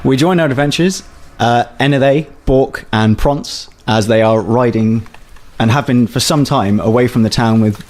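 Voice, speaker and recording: A man's voice: medium pitch, normal speed, a little mellow. A man running a tabletop game as game master, describing scenes in a plain, slightly hesitant way. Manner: descriptive, lightly hesitant narration.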